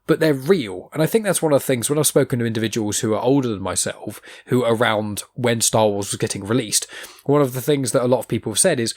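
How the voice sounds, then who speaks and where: A young man hosting a podcast, rambling in animated casual chat and swearing now and then. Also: medium pitch, fast talker. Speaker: podcast host.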